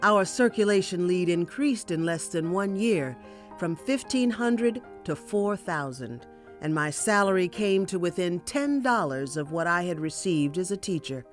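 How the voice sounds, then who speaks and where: Firm, measured 1890s newspaperwoman. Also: on the low side, animated, faint music behind. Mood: resolute. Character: resolute young woman journalist of the 1890s. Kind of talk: dramatic reading of historical writing.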